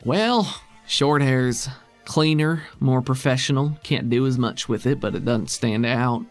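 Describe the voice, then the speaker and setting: A man's voice: medium pitch, measured pace, soft background music, lightly acted. A man playing a crude, defiant alien handler who talks bluntly and casually in a tabletop game. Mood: matter-of-fact.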